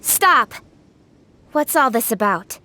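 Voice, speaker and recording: A woman's voice: a little high, sing-song, slow pace, sharp tone, slight background noise, exaggerated voice. A woman playing a poised young noblewoman in authority, speaking formally and assertively in a video game cutscene. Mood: commanding.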